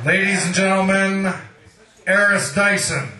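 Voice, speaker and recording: A man's voice: high-pitched, steady tone, unhurried, sharp tone. A man acting as event host, giving a live stage introduction at a poetry night. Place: indoor poetry night venue. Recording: echoey live room recording.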